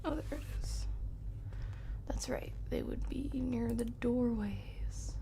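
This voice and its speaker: A woman's voice: on the high side, slow, vocal fry, mellow, in a whisper. A woman doing casual, chatty live commentary as she streams a horror game, reacting and often muttering to herself.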